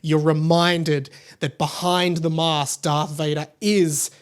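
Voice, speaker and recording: A man's voice: on the high side, little pitch movement, slow pace, clean tone. A man hosting a podcast, talking casually and emphatically in an informal, gushing fan style. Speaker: podcast host. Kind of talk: casual opinionated podcast monologue about pop culture.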